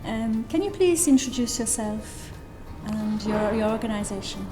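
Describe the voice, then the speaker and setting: Polite higher-voiced woman interviewer. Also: expressive, measured pace, faint music behind. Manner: polite, hesitant with fillers. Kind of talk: interview questions.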